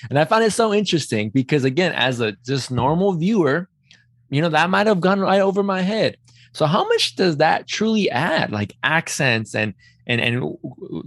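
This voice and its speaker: A man's voice: fairly high pitch, vocal fry, fairly bright tone, no room sound, studio quality. A man hosting a casual interview, reacting with effusive enthusiasm. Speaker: podcast host.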